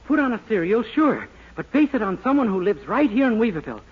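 A man's voice: high-pitched, big pitch swings, fast talker, breathy edge, heavily acted. An American man playing a naive, cheerful young small-town clerk in 1940s radio comedy style, with a boyish, chirpy manner. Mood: eager. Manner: boyish, chirpy, self-pleased. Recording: muffled, noisy 1940s radio recording.

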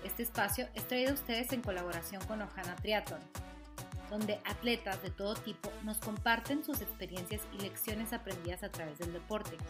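A woman's voice: medium pitch, moderate pace. A woman reading a scripted podcast outro as the host, addressing her listeners. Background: music.

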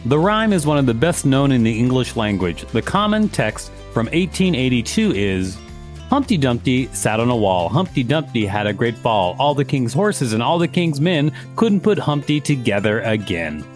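A man's voice: mid-range voice, very animated, normal speed, soft background music. A man hosting a podcast, reading reference text aloud and adding casual commentary. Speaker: podcast host.

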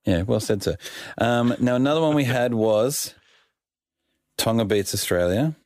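A man's voice: mid-range voice, animated, a little slow, a little mellow, dry recording, studio quality. A man co-hosting a sports podcast, giving short agreeing replies and teasing his guest. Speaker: podcast co-host. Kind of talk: casual sports chat.

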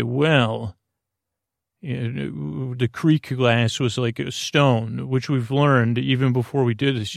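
A man hosting a sleep podcast, wandering through a silly, halting bedtime monologue full of restarts and asides. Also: medium pitch, vocal fry, dry recording, studio quality. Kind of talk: rambling, meandering bedtime monologue.

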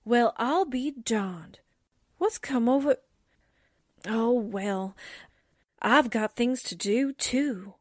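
Lady doing a plucky 40s radio secretary gal, high voice, takes her time, very theatrical. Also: animated, very slow, pauses often, heavily acted. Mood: puzzled. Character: plucky, suspicious young female newspaper secretary. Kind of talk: 1940s radio drama acting.

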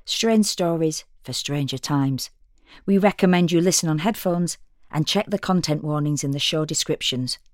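An announcer calmly introducing an audio drama show. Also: medium pitch, moderate pace, no echo.

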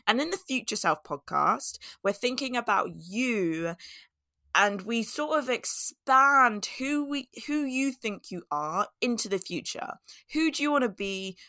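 A woman hosting her own podcast, talking casually with a voice hoarse and scratchy from a cold, laughing at herself and repeating her key points. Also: a little high, lively intonation, measured pace, pushed and bright, no echo, clean studio sound. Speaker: podcast host. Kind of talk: casual solo podcast talk.

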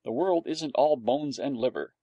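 A man's voice: medium pitch, moderate pace, mellow, no echo, muffled recording, close to an everyday voice. A man reading a story, voicing a stern mother dog who lectures her pup insistently.

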